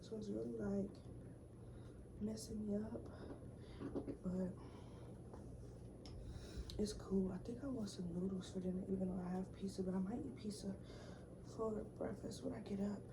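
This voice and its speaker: A young woman vlogging from her bedroom in relaxed, rambling casual chat, full of slang and fillers. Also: medium pitch, normal speed, creaky, pauses often, noisy background. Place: bedroom at home.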